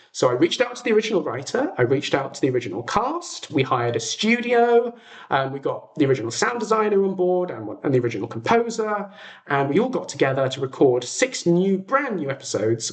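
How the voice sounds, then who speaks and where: A British man chatting casually as a livestream host, telling stories in a hesitant way and often interrupting himself. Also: a little high. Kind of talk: casual livestream chat, storytelling.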